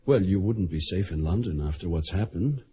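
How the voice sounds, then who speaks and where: Earnest, deep, raspy-voiced sweetheart. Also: male voice, lively intonation, a little fast, full character voice. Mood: reassuring, earnest. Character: earnest, reassuring young male sweetheart of an athlete. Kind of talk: radio drama acting. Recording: dull lo-fi radio broadcast.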